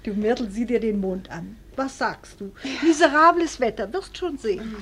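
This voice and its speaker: An actress playing a sensible, tolerant small-town wife in a radio drama. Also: female voice, fairly high pitch, normal speed, fairly bright tone, a little hiss or hum. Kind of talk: German stage-play radio drama acting.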